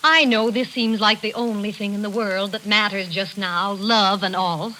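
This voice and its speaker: A hissy old radio broadcast carries an actress playing a middle-aged stage mother. She is bitter and overbearing, with a bright, cutting voice, delivered in theatrical 1940s style. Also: medium pitch, fairly even intonation, moderate pace, lightly acted, poor audio. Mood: insistent, coaxing. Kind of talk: 1940s radio drama acting.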